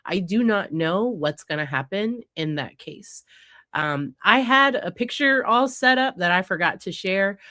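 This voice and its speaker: A woman's voice: medium pitch, lively intonation, unhurried, pushed and bright, no echo, studio quality. A woman psychologist hosting a casual commentary on news cases, congested and nasal from a head cold, talking conversationally with frequent fillers. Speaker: psychologist host.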